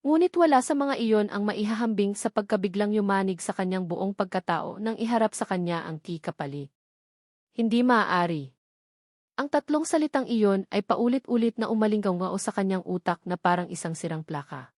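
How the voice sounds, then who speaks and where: A woman narrating a scripted romance story in a measured, melodramatic way. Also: medium pitch, expressive, a little mellow, no echo, clean studio sound.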